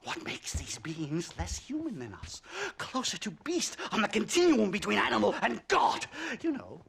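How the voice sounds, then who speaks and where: Pompous, agitated academic for a game cutscene; very high, creaky voice, stammering, self-righteous. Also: male voice, wildly swooping pitch, moderate pace, a bit bright, full character voice. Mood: agitated, excitable. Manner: pompous, stammering, theatrical old-fashioned diction. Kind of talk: video game cutscene acting.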